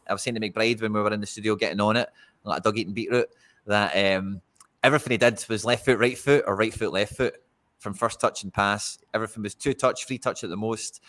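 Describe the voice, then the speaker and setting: A man co-hosting a fantasy football live stream, casually going through player stats. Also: lowish voice. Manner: steady, reads out player statistics. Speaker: fantasy football podcast co-host.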